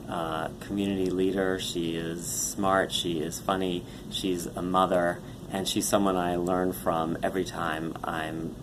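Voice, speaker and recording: A man giving an earnest, measured birthday tribute to an old friend. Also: deep voice. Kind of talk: short spoken tribute. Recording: noisy background.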